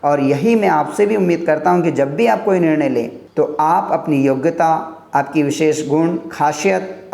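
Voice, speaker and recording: A man's voice: fairly high pitch, vocal fry, a little mellow, reverberant. A man giving an earnest motivational talk on career choices, stacking points in lists, as a teacher addressing his viewers. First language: Hindi.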